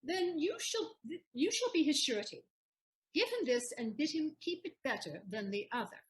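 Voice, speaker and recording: A woman playing a proud, sharp-witted wife in a Shakespearean audio play, teasingly indignant in declamatory verse. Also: high voice, animated, moderate pace. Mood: mock stern, teasing.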